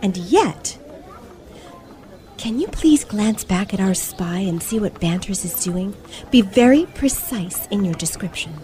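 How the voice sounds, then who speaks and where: A woman's voice: medium pitch, expressive, measured pace, dark tone, close to an everyday voice. A woman playing a self-assured, shrewd teenage girl detective in an old-fashioned radio play, speaking precisely and formally. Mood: confident, scheming.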